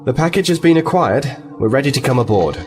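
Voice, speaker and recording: Man as lively-pitched, earnest young-officer type lieutenant. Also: fast, over music, lightly acted. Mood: businesslike. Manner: polite, explanatory, composed. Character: earnest, diplomatic male starfleet lieutenant, liaison officer. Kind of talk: sci-fi audio drama acting.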